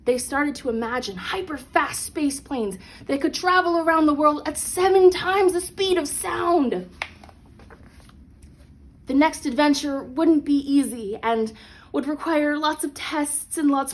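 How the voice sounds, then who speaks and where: A woman's voice: sits high, measured pace. A woman reading a children's picture book aloud as a storyteller, expressively and with dramatic emphasis on key phrases. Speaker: read-along storyteller. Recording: clear background noise.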